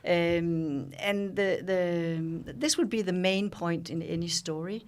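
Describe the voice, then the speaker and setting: A Danish woman in her sixties, a journalist talking thoughtfully in an interview, pausing and restarting as she reflects. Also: lowish voice. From Denmark. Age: 60s. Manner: thoughtful, with frequent pauses and restarts. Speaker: guest, journalist and founder.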